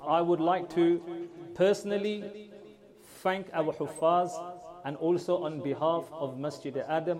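A man leading prayers, giving an earnest address to his congregation and repeating phrases for emphasis; his first language is not Urdu. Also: a little high. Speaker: imam. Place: large mosque hall with congregation. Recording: crowd audible behind.

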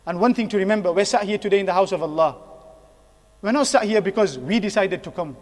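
High-pitched male preacher in an echoing hall. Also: fast. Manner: earnest, exhorting with repeated phrases and rhetorical questions. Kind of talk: sermon. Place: mosque hall. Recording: long echoing hall reverb.

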